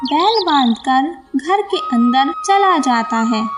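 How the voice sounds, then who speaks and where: A woman reads a scripted children's tale as narrator. Her voice is high and her delivery unhurried. Music plays clearly underneath. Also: lively intonation.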